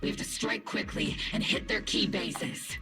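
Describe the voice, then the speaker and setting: An actress playing an earnest, loyal military squad captain in a video game, measured and firm, with a creaky voice. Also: female voice, sharp tone. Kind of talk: scripted video game voice acting. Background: clear game music.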